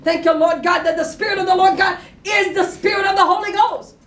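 A woman preaches with great fervor in a very high-pitched voice. She exhorts emphatically, repeating phrases and pushing toward a shout. The sound is echoey and distant from the microphone. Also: fairly even intonation, a little fast. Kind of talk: fervent Pentecostal-style sermon with call and response. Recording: echoey room, far from the mic.

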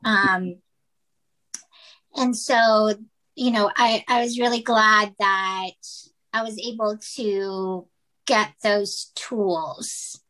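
A woman's voice: medium pitch, steady tone, drawn-out words, long pauses, sharp tone, poor audio. A woman from the Philippines, a writer and actress, speaking as a guest in a casual interview, hesitant with many fillers and restarts.

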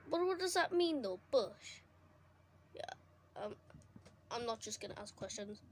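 Excitable young gamer girl, slightly hoarse. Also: on the high side, measured pace, a little hiss or hum. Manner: chatty, excitable, reacting to the game. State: losing her voice. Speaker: gaming streamer. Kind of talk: casual gameplay commentary. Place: indoors at a console. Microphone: phone filming a TV screen.

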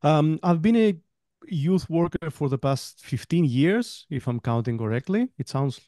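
Mellow-voiced man on a call. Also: on the high side, lively intonation, a little slow, dry recording, clean studio sound. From Greece. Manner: friendly, rambling, laughs between phrases. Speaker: guest trainer. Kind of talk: casual webinar interview. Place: home room, on a video call. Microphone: headset with desk mic.

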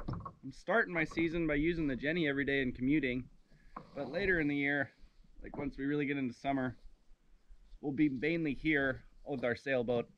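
Relaxed man, slightly hoarse, vlogging outdoors. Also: fairly high pitch, muffled recording, a little hiss or hum. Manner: relaxed, upbeat, many fillers. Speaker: sawmill owner vlogging his workday. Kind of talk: casual outdoor vlog. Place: outdoors on a boat and dock by a calm bay. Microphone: action camera held at arm's length.

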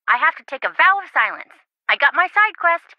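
A woman voicing a quirky, sarcastic, excitable young reality-show contestant in a video game, with playful theatrical quips. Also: high-pitched, brisk, no echo, muffled recording. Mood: animated, quippy.

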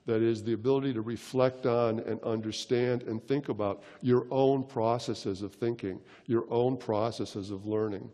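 An older man giving a conference keynote on stage into a headset mic, lecturing in a measured way with frequent ums and uhs. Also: mid-range voice, steady tone, warm tone, long reverb tail. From United States. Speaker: keynote speaker. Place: large conference hall stage.

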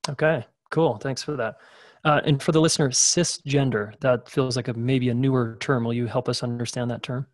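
A man's voice: mid-range voice, fairly even intonation, moderate pace, no room sound, studio quality. A man hosting a podcast interview in a casual, informal way, with short acknowledgements and questions.

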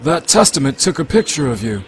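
A man playing a grave scientist sidekick, husky and serious, explaining things in an anime parody dub. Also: mid-range voice, big pitch swings, brisk, natural voice, poor audio. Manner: expository, serious.

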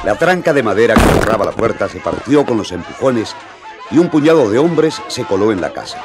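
A man's voice: on the low side, sing-song, brisk, over music. A man narrating a radio drama as a vivid, dramatic storyteller. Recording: crowd noise behind.

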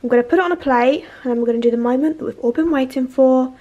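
A woman in her twenties chatting excitedly to camera in a casual home cooking vlog, full of fillers. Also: sits high, fast, lo-fi sound. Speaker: vlogger. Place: home kitchen and living room, indoors.

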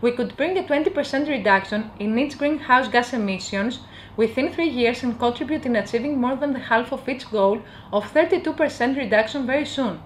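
High-voiced young woman pitching. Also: a little hiss or hum. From Greece. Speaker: company presenter. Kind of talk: scripted promotional pitch. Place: indoor office with bookshelves.

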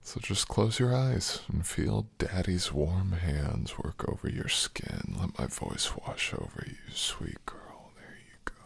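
Very deep, gravelly, breathy boyfriend played by a man. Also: very animated, dry recording, in a whisper, exaggerated voice. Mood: soothing, sensual. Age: young adult. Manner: breathy, murmured, slow and soothing. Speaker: audio roleplay voice actor. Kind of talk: intimate boyfriend ASMR roleplay.

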